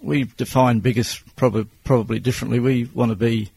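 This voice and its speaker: A man in his sixties with an Australian accent, answering interview questions as a business owner in a plain-spoken, measured way. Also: medium pitch, steady tone, gravelly, darkish tone, a little hiss or hum. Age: 60s.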